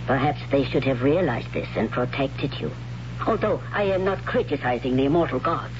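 A man voicing a stern, solemn priestess of a tribe of warrior women, speaking in a hushed, whispery way, in a mid-range voice. The sound is a dull old radio broadcast with steady hiss behind it. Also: mid-range voice, moderate pace, natural voice. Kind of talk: 1970s radio drama acting.

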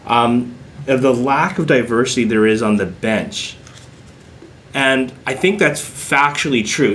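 A man's voice: mid-range voice, a little hiss or hum. A man hosting a podcast, asking long questions that he keeps rephrasing. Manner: searching, rephrases his questions at length. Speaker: podcast host, lawyer. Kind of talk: podcast interview.

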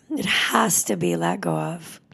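Yoga teacher, woman, 30s: slow, gravelly and husky, soft and heartfelt, slips into whispers. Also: fairly low pitch. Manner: emotional, soft, drops into whispers. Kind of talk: reflective spoken teaching.

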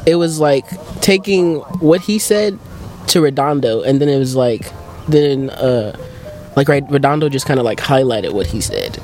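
A man's voice: mid-range voice, moderate pace, a little mellow, soft background music. A man as a podcast guest, talking hesitantly with many fillers while recalling his past. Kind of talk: casual podcast chat.

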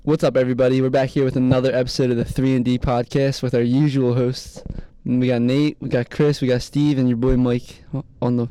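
A man hosting a casual sports podcast, jokey and self-deprecating, with a raspy voice and a slight lisp. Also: medium pitch, flat delivery, brisk, hardly any pauses, warm tone, no echo, poor audio. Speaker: podcast host.

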